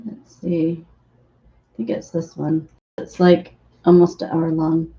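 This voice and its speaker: Hoarse low gravelly woman. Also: flat delivery, slow, dark tone. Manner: rambling, unprepared, chatty. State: hoarse, losing her voice. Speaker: livestream host. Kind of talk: casual livestream chat. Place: home painting room. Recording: dull lo-fi sound.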